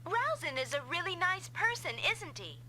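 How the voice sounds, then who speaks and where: Casting: girlish, slightly clumsy anime-style game sidekick, lively, high-pitched, over noisy old console audio. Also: moderate pace, heavily acted. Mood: admiring. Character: sweet, girlish, slightly clumsy young female co-pilot. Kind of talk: dubbed anime-style video game acting.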